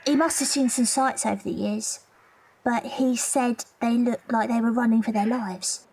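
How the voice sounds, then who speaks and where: A woman playing an earnest, loyal young Victorian London maid and investigator, deferential and breathy, in an audio drama. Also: a little high, steady tone, moderate pace, darkish tone, rough recording.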